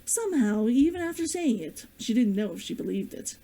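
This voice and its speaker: Expressive, high-pitched woman with mellow voice. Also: a little fast, low-quality recording. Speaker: streamer, author reading own book. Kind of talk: chatty greeting, then storybook read-aloud.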